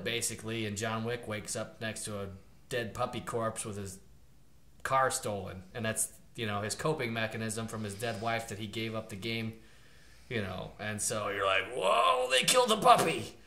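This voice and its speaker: A man's voice: on the low side, moderate pace, creaky. Rambling man in a roomy space. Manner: loose and rambling, lots of fillers, animated retelling. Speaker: podcast co-host. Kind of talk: casual chat. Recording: roomy echo.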